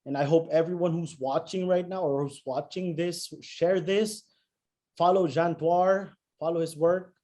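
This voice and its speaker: High-pitched effusive male host. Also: steady tone, a little slow, warm tone. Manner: effusive, informal, gushing. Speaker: interview host. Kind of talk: casual video interview.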